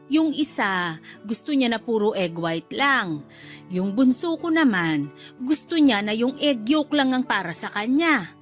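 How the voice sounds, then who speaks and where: A woman's voice: fairly high pitch, very animated, a little slow, faint music behind. An actress playing a chatty, fussy middle-aged mother-in-law in a radio drama. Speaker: husband's mother.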